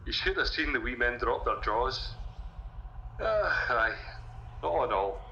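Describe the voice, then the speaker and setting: A man's voice: medium pitch, lively intonation, unhurried, sharp tone. Hulking male labourer, good-natured, boastful, roomy lo-fi game audio log. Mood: boastful, amused. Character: big, boastful, good-natured Scottish strongman workman. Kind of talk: recorded audio log monologue. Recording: muffled, echoey, noisy audio log.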